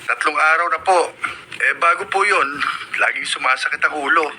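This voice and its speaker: A man's voice: a little high, moderate pace, lightly acted. A man playing a respectful, hesitant young farmer son in a Filipino radio drama, ailing and self-sacrificing. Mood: polite. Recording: very noisy radio broadcast with clicks.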